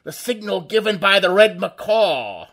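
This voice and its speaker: Actor as a mocking crimefighter, lively swooping voice, slow menace. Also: male voice, high voice, full character voice. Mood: taunting. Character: suave male mystery vigilante, mocking and commanding. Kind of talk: 1930s radio drama reenactment.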